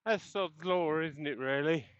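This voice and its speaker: Man, slightly high voice, chatty cyclist talking through a dull headset mic on the road. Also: a little slow, clean tone, dry recording. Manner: rambling, chatty, chuckles often. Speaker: cycling vlogger. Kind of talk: casual chat while riding. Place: outdoors on a bike on the road. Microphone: helmet intercom headset mic.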